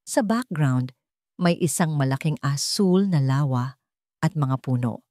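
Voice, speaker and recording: Female storyteller, low register, close dry sound, for scripted romantic drama narration. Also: animated, unhurried, darkish tone, no room sound.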